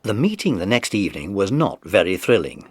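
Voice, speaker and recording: A man's voice: fairly low pitch, very animated, a little fast, husky, no echo. A man narrating a children's mystery story in a scripted, dramatized audiobook.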